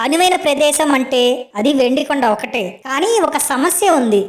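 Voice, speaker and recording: A woman's voice: sits high, lively intonation, normal speed, reverberant. A woman voicing a brave, clever, kind young female penguin leader in a children's cartoon, with a reassuring, decisive way of talking. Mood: confident, planning. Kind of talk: children's animated story acting.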